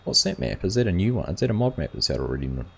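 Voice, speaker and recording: A man's voice: low-pitched, sing-song, racing, creaky, fairly bright tone, breathy edge. A man hosting a gaming livestream, chatting casually and reading viewers' comments aloud. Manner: rambling, reads chat aloud and answers viewers.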